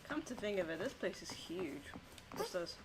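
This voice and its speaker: A woman's voice: on the low side, sing-song, normal speed. A woman giving casual, musing gameplay commentary in an offhand way. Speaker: gaming streamer.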